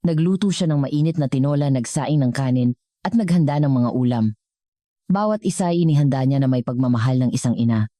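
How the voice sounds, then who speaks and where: Woman, Filipino accent, low pitch, soft dark tone, dramatic scripted storytelling, close dry sound. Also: no echo.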